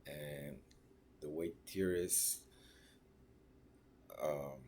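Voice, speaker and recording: A man gives a class presentation. He pauses often and searches for words, checking in with short tag questions as he goes. A faint hiss of noise sits under the recording. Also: rumbling low, steady tone, mellow. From Mexico (raised in Ciudad Juárez). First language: Spanish. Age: 30s. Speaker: registered nurse.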